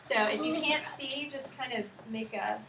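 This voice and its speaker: A woman's voice: high voice, moderate pace. A woman reading a picture book aloud to young children, asking simple questions and prompting and affirming their answers. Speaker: student teacher reading aloud to kindergarten children. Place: echoey indoor room with children. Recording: roomy, noisy, distant microphone.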